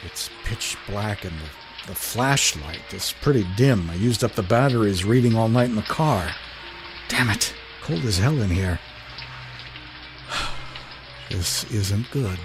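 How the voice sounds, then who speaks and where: A man's voice: lowish voice, slow pace, pauses often, over music. A man acting a down-on-his-luck night watchman in a 1930s radio drama, telling his story in a wry, colloquial, hesitant way. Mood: tense. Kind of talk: first-person radio drama narration. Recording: voice over steady rain noise. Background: rain.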